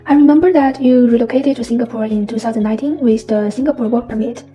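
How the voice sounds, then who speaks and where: A woman's voice: on the high side, fast, warm tone. A woman hosting an interview, reading a polished, upbeat script. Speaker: interview host. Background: faint background music.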